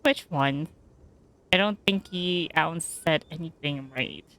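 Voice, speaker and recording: Female game streamer, fairly low voice, casual and opinionated, tossing out muttered reactions. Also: a little slow, a bit bright. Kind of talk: casual live gameplay commentary.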